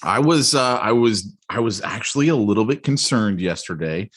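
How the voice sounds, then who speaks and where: A middle-aged man co-hosting a podcast, telling a story in a rambling, animated way with many restarts and asides. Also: on the low side, moderate pace, breathy edge. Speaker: podcast co-host. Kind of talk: casual storytelling chat.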